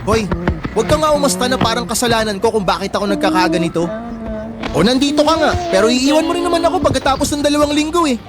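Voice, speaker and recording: A man's voice: very high voice, quick pace. A man with a Filipino accent playing a wounded, resentful teenage younger brother in a radio drama. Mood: bitter, hurt. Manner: defensive, resentful, sharp-tongued. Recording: music bed under dialogue. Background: background music.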